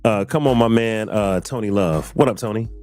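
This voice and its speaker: Laid-back low-voiced male podcast host. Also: moderate pace, a little mellow, soft background music. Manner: laid-back, laughs often, repeats himself. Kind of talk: casual podcast chat.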